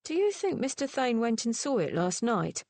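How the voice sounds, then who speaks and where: A woman's voice: fairly high pitch, very animated, moderate pace, a little mellow, no room sound, voice pushed up. Awkward eager young gent, read by a woman, chatty, dry close sound. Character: gawky, awkward young gentleman.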